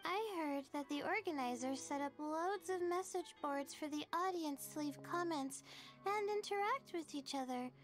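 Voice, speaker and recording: A woman plays a studious young woman in a video game, with a high, youthful voice. She sounds gentle, earnest and thoughtful, with clear music playing behind her. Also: moderate pace, close to an everyday voice. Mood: hopeful, curious.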